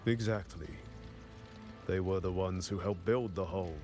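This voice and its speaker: Deep, slow, solemn male commander. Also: darkish tone, faint music behind. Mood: solemn, storytelling. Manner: measured, solemn, deliberate delivery. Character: grave, resolute male commander guarding an old hold. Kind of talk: video game dialogue acting.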